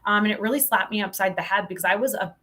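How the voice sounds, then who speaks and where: A woman's voice: mid-range voice, very fast, pushed and bright. A woman speaking as a podcast guest in a casual interview, giving candid opinions with emphasis. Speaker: podcast guest, sales professional.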